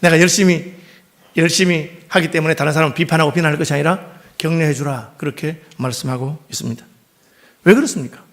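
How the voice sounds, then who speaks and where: A middle-aged male pastor preaching a sermon in a church, speaking with a noticeable regional dialect and telling stories lively, acting out the people he quotes. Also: fairly high pitch, quick pace. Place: church sanctuary.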